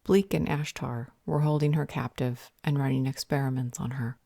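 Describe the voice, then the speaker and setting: Woman playing a low, dark-voiced vampire healer. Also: fairly even intonation, moderate pace, gravelly, no room sound, studio quality, full character voice. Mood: firm, guarded. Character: stern, protective female vampire healer. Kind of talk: audio roleplay acting.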